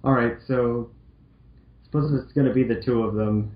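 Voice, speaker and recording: A man's voice: mid-range voice, steady tone, normal speed. A man talks casually, muttering his asides and sometimes swearing. The sound is roomy and a little dull, as from a lo-fi mic. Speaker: co-reader. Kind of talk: casual chat.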